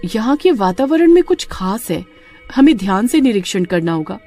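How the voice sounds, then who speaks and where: A woman's voice: medium pitch, big pitch swings, normal speed, dark tone, faint music behind. A woman playing a cautious, earnest young female adventurer in a dramatic story. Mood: wary.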